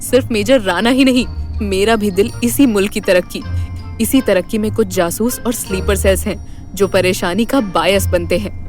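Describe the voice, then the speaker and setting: A woman's voice: medium pitch, normal speed, darkish tone, faint music behind, close to an everyday voice. A woman acting a resolute, dutiful spy in love, in a romantic audio drama. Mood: firm, sad.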